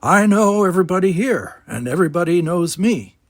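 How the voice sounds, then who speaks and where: A man's voice: sits high, expressive, a little fast, no room sound, clean studio sound, voice pushed up. A man voicing a grumpy, nosy little old male gardener, with a blunt, barking and emphatic delivery. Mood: gruff. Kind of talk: children's novel read-aloud character voice.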